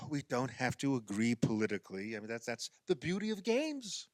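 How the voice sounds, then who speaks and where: A man's voice: medium pitch, very animated, fairly quick, dry recording, close to an everyday voice. An actor in a radio play playing an exasperated man who coaxes and explains insistently, often stammering. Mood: patient explaining. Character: exasperated man coaxing a woman through a playground basketball game.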